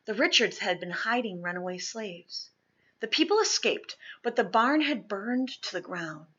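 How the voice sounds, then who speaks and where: A woman reads a storybook aloud with a bright, forceful edge and a husky grain. She leans into the drama, swelling on key words and dropping softer on others. The recording is a little dull and lo-fi. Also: mid-range voice, animated, normal speed. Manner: dramatic, with emphatic swells and hushed drops. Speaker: storybook reader. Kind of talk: expressive read-aloud of children's historical fiction.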